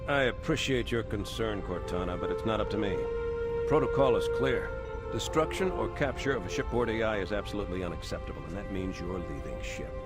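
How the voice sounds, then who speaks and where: An actor playing a grave, commanding male starship captain in a video game cutscene, speaking with measured military authority. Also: on the low side, animated, close to an everyday voice. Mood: firm, grave. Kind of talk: dramatic game cutscene acting. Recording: loud music under the voice.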